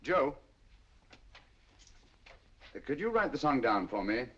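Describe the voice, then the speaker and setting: Composed detective, crisp and ironic, lively delivery, muffled vintage sound. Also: male voice, mid-range voice, full character voice. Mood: measured. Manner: crisp, measured, lightly ironic. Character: sharp, cool-headed English detective, middle-aged man. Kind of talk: 1940s film acting.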